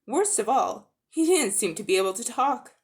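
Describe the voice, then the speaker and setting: A woman reading a children's story aloud in an expressive, dramatic narrating style, with the odd stumble. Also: on the high side, normal speed. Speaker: amateur audiobook reader.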